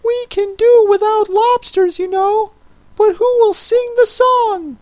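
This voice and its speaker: A woman voicing an excitable yet melancholy male turtle creature from a storybook, in a squealing singsong delivery. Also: very high voice, slow pace, no room sound, pitched above the performer's own voice, poor audio. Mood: eager, coaxing.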